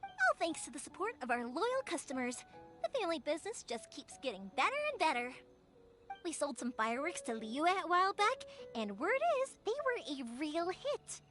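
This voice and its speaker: An actress voicing a cheerful, energetic young fireworks maker in a video game, bubbly and reassuring. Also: female voice, high voice, normal speed. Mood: upbeat. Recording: music behind the voice.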